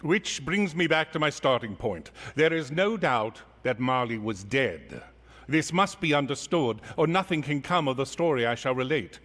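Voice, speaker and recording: A man narrating a story theatrically in a wry, formal, deliberate way, in an echoey theater hall. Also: medium pitch, expressive, a bit bright. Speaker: stage narrator and presenter. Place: theater stage.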